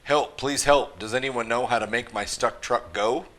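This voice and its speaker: A man's voice: mid-range voice, normal speed, fairly bright tone. A man reading a rhyming picture book aloud to children with an emphatic, rhythmic delivery. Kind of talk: animated children's story read-aloud.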